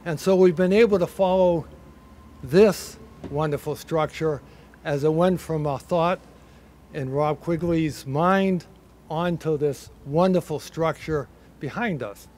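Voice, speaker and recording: An older man with a Boston accent giving a measured, reflective ceremony speech from a podium, with a light touch of humor. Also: on the high side, darkish tone, slight background noise. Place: ceremony in a building under construction.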